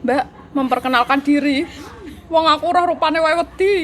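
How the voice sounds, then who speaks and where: A woman telling a story in casual chat, animated and laughing often. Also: sits high, moderate pace. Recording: noisy background.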